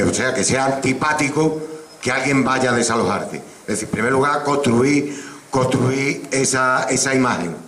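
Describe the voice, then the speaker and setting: A man speaking at a public assembly, deliberate and repeating words as he builds his points. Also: medium pitch, sharp tone, echoey room, a little hiss or hum, poor audio.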